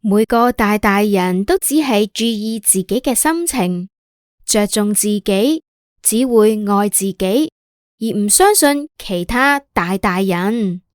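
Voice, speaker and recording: A woman's voice: mid-range voice, animated, slow, no room sound, clean studio sound. A woman with a Hong Kong accent narrating a scripted children's story in a simple, explanatory way.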